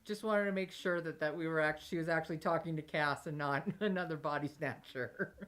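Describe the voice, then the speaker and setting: A woman with a notably low voice takes part in a tabletop game as a player. Her delivery is casual and conversational as she works through plans with the group. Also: fairly quick, a bit bright.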